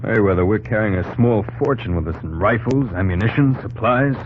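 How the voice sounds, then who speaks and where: An actor playing a wary, plain-spoken army lieutenant in an old-time radio drama, understated and hesitant. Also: male voice, lowish voice, brisk, a little hiss or hum, close to an everyday voice. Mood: suspicious. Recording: tinny old radio recording.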